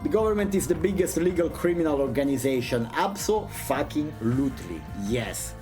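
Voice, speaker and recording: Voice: medium pitch, lively intonation, moderate pace, music in the background. A non-native English speaker streaming, chatting casually in a jokey, sweary, slangy way between bits of dramatic read-aloud. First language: not English. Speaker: streamer reading a visual novel aloud and chatting.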